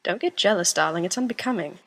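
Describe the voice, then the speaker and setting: A theatrical teenage schoolboy, acted out by a woman, demanding and teasing, with a husky voice that swoops around dramatically. The delivery is playful and melodramatic, like an amateur audio drama. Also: fairly low pitch, expressive, quick pace, a bit bright. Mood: mock-hurt. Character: theatrical, attention-seeking seventeen-year-old schoolboy, teasing and flamboyant.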